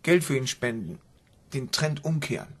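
An actor playing a determined, secretive young son in a radio crime drama, with a husky, creaky voice. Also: male voice, mid-range voice, big pitch swings, normal speed. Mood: earnest, defensive.

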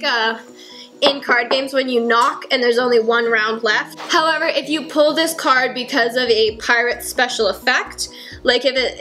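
A young woman in her twenties casually explaining board game rules at home, chatty with lots of fillers, her voice a little hoarse. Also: high-pitched, pushed and bright. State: slightly hoarse. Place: home kitchen, indoors. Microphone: fixed camera at table.

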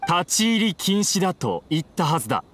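An officious guard from an anime game, stern and forceful in manner, slightly high for a man. His pitch moves a lot, over faint noise. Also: sing-song, brisk.

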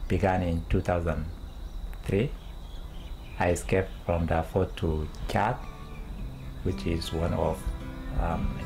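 A very deep, gravelly man, speaking slowly and haltingly as he searches for words in English. His tone is mellow and dark, with soft guitar music under the interview. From Sudan. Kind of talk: documentary interview.

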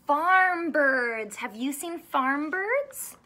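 A woman in her thirties reading a picture book aloud to children in an expressive, sing-song, teacherly way. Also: sits high, drawn-out words. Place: small furnished room indoors.

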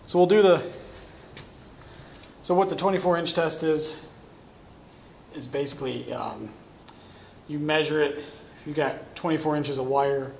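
Gravelly-voiced man demoing welding gear. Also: mid-range voice, a little hiss or hum. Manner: casual, talks while working with his hands. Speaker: welding equipment demonstrator. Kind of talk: hands-on product demonstration. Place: welding shop corner with curtain backdrop.

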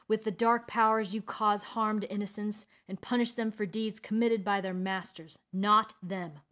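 A woman's voice: medium pitch, natural voice. Woman as a stern, commanding old wandering mage. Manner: solemn, commanding. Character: stern old male wandering mage, righteous, iron-willed.

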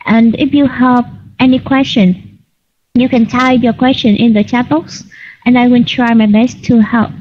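A woman teaching an online English class, correcting students patiently and clearly. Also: a little high, fairly even intonation, unhurried. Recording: lo-fi online call audio.

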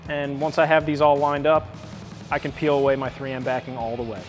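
A man in his thirties walking through an install step by step in a bright indoor garage. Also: medium pitch, fairly quick, soft background music. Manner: steady step-by-step instruction. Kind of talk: how-to install walkthrough.

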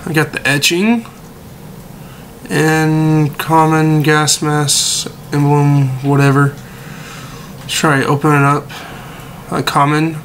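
A young man with a slightly hoarse voice, as if he is losing it, giving laid-back gameplay commentary with a mildly let-down tone. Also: a little high, little pitch movement, slow pace, pauses often, a bit bright, a little hiss or hum. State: losing his voice. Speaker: gaming video creator.

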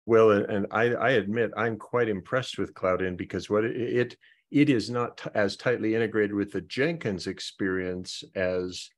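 Deep-voiced guy running a video call meeting, stops and restarts a lot. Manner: halting, repeats words while thinking. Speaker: meeting host. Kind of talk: online meeting discussion.

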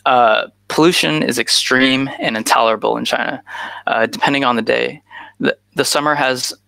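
A man's voice: mid-range voice, moderate pace, creaky, bright, forceful tone, no echo. A man in his thirties from the United States gives a conference talk over a video call on a headset, with frequent "uh" fillers. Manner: frequent "uh" fillers, earnest and informal. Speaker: guest speaker.

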